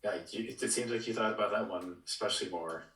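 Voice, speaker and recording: A man's voice: on the low side, fast, a bit bright, far from the mic. A man co-hosting a podcast in casual, agreeable chat, with a roomy echo on his voice. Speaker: podcast co-host.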